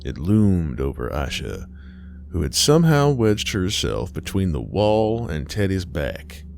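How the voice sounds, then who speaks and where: Low-pitched man narrating a horror story. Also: sing-song, unhurried, gravelly, a little mellow. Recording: faint music underneath. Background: faint music.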